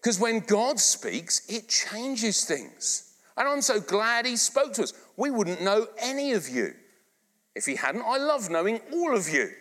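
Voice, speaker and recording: A middle-aged English man preaches in a chatty, coaxing way, his pitch leaping high and low with every phrase. He takes his time over the words. The voice carries with a long reverb around the church hall. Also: a little slow. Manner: animated, conversational, asks the congregation to repeat lines. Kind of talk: sermon. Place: church hall, live congregation.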